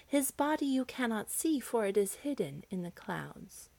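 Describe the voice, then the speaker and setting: A woman voicing the two young wives of a giant, speaking in a hushed, formal way. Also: on the high side, big pitch swings, normal speed, creaky, no room sound, pitched above the performer's own voice. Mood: solemn.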